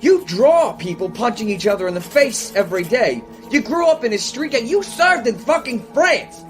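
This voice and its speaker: An excitable comics partner in an audio drama. The delivery is earnest and emphatic, very lively in pitch, with soft music underneath. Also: male voice, normal speed. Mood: exasperated. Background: faint music.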